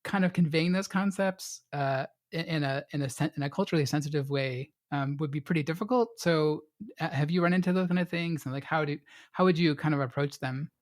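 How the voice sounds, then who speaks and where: A man's voice: fairly high pitch, fairly quick. A man hosting a podcast, asking long, loosely phrased questions full of fillers. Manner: rambling, filler-heavy, laughs often. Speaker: podcast host. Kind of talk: casual interview questions.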